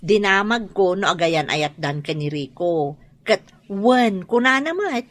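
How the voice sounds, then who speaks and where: Bright-voiced gossipy Ilocano young woman. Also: mid-range voice, lively intonation, unhurried. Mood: teasing. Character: chatty, teasing young woman, gossipy friend. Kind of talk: radio drama acting.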